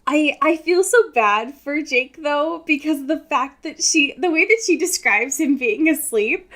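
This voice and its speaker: A woman's voice: high-pitched, lively intonation, unhurried, fairly bright tone. Wry woman chatting casually. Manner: informal, wry, acts out characters' lines in slangy paraphrase. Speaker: podcast co-host. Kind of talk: casual book discussion, recapping chapters.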